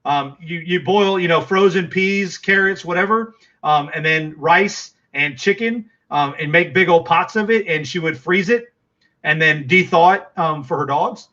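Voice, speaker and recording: A man's voice: a little high, measured pace, bright, forceful tone, highs cut off. A middle-aged man with a Texas accent, chatting casually with viewers on a livestream, rambling with lots of fillers and restarts.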